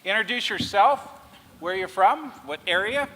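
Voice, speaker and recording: A man running a public meeting, speaking in a measured, preacherly way to the audience. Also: high voice, lively intonation, bright, forceful tone. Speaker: meeting facilitator. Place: large public meeting hall. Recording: reverberant hall, faint hiss.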